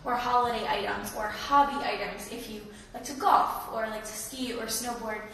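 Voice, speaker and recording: Slightly raspy woman, echoey room. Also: on the high side, moderate pace, fairly bright tone, distant mic, slight background noise. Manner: friendly, chatty, informative. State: raspy from a cold. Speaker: leasing tour host. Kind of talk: live walkthrough tour. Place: echoey empty indoor rooms.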